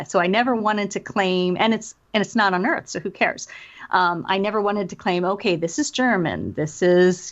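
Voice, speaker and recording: An American woman talking conversationally as an interviewed author and voice actor, explaining things in a chatty way with quoted asides. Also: on the low side. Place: remote call from separate location. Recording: dull, lo-fi call audio.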